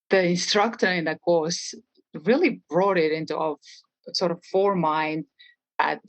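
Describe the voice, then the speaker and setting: A woman's voice: sits low, no echo, highs cut off. A middle-aged woman from Estonia with an Estonian accent, talking conversationally as an interview guest. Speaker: interview guest, real estate trainer.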